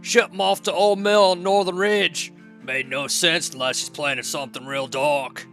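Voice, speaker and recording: A man's voice: a little high, steady tone, normal speed, fairly bright tone, faint music behind. A man acting as a weary, disgruntled blacksmith in an audio drama, with blunt, grumbling working-man talk. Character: weary, disgruntled overworked male blacksmith, gruff and plain-spoken.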